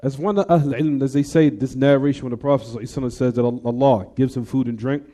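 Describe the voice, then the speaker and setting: A man's voice: medium pitch, fairly even intonation, racing, warm tone. A man teaching a class on hadith, explaining in English with recited Arabic and often quizzing the students with "what?" questions. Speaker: Islamic teacher.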